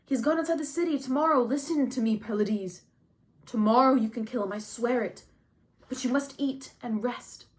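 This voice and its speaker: A woman's voice: a little high, moderate pace, a little mellow, natural voice. Woman acting an insistent warrior comrade. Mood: urgent, pleading. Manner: insistent, emphatic. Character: urgent, pleading male warrior comrade, steady and practical.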